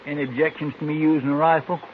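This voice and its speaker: A man's voice: fairly high pitch, flat delivery, very fast, natural voice. An actor playing a plain-spoken, loyal frontier man in a 1950s western radio drama, with a folksy American drawl. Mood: uneasy, resolved. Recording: noisy, muffled vintage radio recording.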